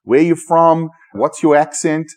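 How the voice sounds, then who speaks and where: A man's voice: fairly high pitch, steady tone, a little slow, a little mellow. A man hosting a podcast, introducing guests in an enthusiastic, rambling way. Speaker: podcast host. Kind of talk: casual podcast interview.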